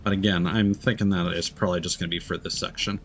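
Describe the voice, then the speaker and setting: A man's voice: low-pitched, level tone, quick pace, slight background noise. A man live-streaming a video game with casual, reactive commentary, muttering asides to himself and swearing freely.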